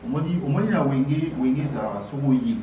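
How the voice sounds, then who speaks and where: A man's voice: mid-range voice, expressive. A man from Kinshasa, talking hesitantly as a guest musician in an interview. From Kinshasa, Congo. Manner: hesitant, halting, many fillers. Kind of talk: casual interview talk. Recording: distant echoey noisy remote line.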